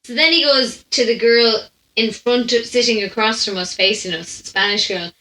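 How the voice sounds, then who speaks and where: A young Irish woman, a podcast host, telling casual comic anecdotes in a chatty, animated way. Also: fairly high pitch, normal speed. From Ireland. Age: young adult. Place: indoor room at home. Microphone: condenser microphone on stand, close. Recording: roomy echo.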